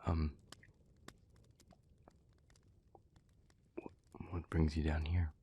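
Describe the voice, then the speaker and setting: A man playing a gentle, remorseful demon in an intimate audio roleplay, speaking in a breathy near-whisper with halting, earnest care. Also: a bass voice, completely flat delivery, highs cut off, exaggerated voice. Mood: hushed, attentive. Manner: halting, earnest, careful, with long pauses.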